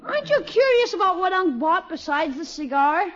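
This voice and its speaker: A man's voice: very high voice, very animated, normal speed, fairly bright tone, heavily acted. A man playing an eager, scheming young boy who talks like a brash store salesman in a 1950s radio sitcom. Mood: cheeky, eager. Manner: brash, wheedling salesman patter. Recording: muffled, noisy old radio recording.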